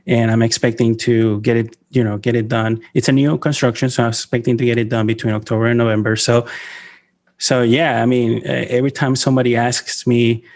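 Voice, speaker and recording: Venezuelan-born man, halting podcast guest. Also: mid-range voice, a little mellow. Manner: halting, many fillers and restarts, laughs often. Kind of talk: casual interview talk.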